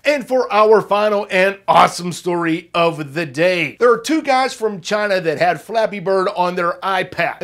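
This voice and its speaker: A man hosting an online news show, delivering casual, upbeat pop culture stories with emphatic delivery and wry, jokey asides. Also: high voice.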